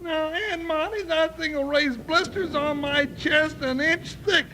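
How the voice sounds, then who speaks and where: An actor playing a big, whiny, good-natured deputy sidekick in an old western radio drama, folksy and full of complaints. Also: male voice, extremely high pitch, unhurried. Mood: whiny protest. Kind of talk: 1950s western radio acting. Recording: noisy old radio recording.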